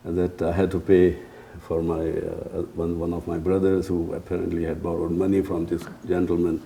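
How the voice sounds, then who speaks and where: An elderly man from India, a native Urdu speaker, recounting his life in a halting, meandering way as an interview guest. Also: deep voice, level tone, gravelly, dark tone, a little hiss or hum. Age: 70s or older. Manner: halting, meandering storytelling with hesitant pauses. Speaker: interview guest, retired police officer. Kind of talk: casual reminiscing interview.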